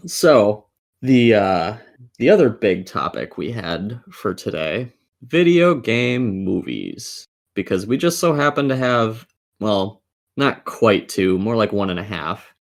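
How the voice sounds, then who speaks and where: A man's voice: on the low side, very animated, slow pace, gravelly. A man hosting a casual gaming podcast, opinionated and swearing freely as he talks. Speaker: podcast host.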